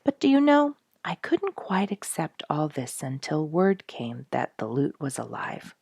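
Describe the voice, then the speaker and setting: A woman's voice: sits low, big pitch swings, unhurried, creaky, darkish tone, breathy edge, no room sound, full character voice. A woman reading aloud as a humble, reflective male soldier, earnest and full of wonder, in a storybook letter. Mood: earnest conviction.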